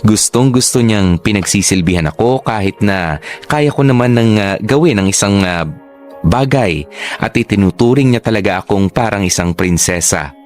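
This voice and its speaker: Isang lalaking tagapagsalaysay ng dramang panradyo na taimtim na nagkukuwento ng buhay ng isang dalaga sa unang panauhan. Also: male voice, lowish voice, normal speed, darkish tone, soft background music, lightly acted. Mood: earnest, reflective. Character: narrator reading a young woman's earnest life story. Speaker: radio drama narrator. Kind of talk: scripted first-person story narration.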